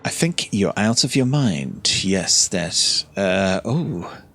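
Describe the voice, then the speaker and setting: A man's voice: deep voice, lively intonation, takes it slowly, fairly bright tone. A man giving casual gameplay commentary, musing aloud and reacting to what is on screen. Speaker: gameplay streamer.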